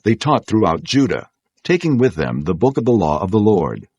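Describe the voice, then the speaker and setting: Low-pitched man narrating scripture, dry sound. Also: very animated, moderate pace, darkish tone, slightly rough, dry recording, lo-fi sound. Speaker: audiobook narrator.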